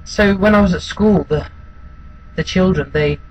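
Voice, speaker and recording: A man's voice: high voice, measured pace, dull audio, slight background noise. Hesitant, subdued man acting a wounded outcast. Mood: subdued, pained. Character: withdrawn, wounded outcast recalling being bullied at school. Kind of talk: dramatic monologue.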